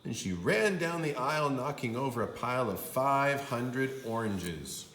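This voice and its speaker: Male kindergarten teacher for picture-book narration: unhurried, emphatic, animated, roomy sound. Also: medium pitch. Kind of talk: expressive storybook read-aloud for young children.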